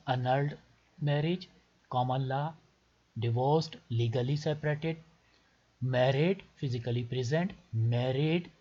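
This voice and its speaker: Male tutorial presenter, Pakistani accent, Urdu native. Slightly low, husky voice, deliberate step-by-step delivery. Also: darkish tone. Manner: deliberate, repetitive explaining with short phrases. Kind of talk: step-by-step instructional screen tutorial. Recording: muffled lo-fi voice recording.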